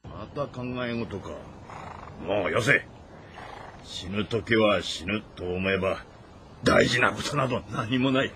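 A man's voice: on the low side, slow, pauses often, background noise. A man playing a bold, fatalistic cavalry general of ancient China, blunt and commanding, in dramatic game acting. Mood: wry, blunt.

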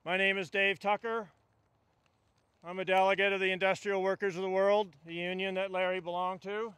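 A man's voice: high-pitched, little pitch movement, moderate pace, fairly bright tone, smooth, no echo. An older man, a union delegate, giving a funeral eulogy outdoors at a stand mic, with a wry storytelling delivery. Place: outdoors at a funeral gathering among trees.

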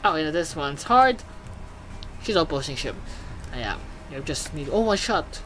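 An excitable gaming host giving casual commentary, exclaiming and swearing while reading game text aloud. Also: mid-range voice, a little slow, faint music behind.

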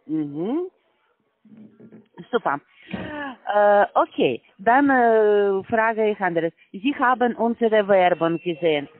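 A man who is not a native German speaker, making a sales pitch on a phone call in halting, broken German full of fillers. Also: expressive, no room sound. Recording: phone line, dry.

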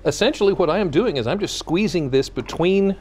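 A man co-hosting a local TV morning show, energetic and jokey, bantering while he helps cook on a studio kitchen set. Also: high voice, fairly quick, a little hiss or hum. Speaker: TV show co-host.

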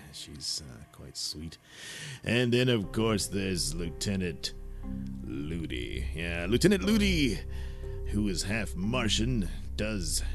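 A man's voice: low voice, full character voice. A man playing a bumbling, crude spaceship captain in a comic sci-fi drama, rambling in a hesitant, folksy way.

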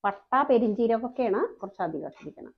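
A woman's voice: on the high side, animated, fast talker, vocal fry, dull audio. A woman hosting a home cooking channel, talking through each step in a chatty, explaining way. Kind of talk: cooking demonstration voice-over.

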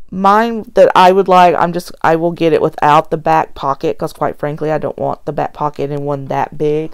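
A woman's voice: sits low, creaky, smooth. A middle-aged American woman with a scratchy voice, chatting casually and a bit ramblingly as she hosts a show-and-tell vlog. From United States. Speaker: host of a planner flip-through vlog. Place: indoors at a tabletop. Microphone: tablet filming from above the table.

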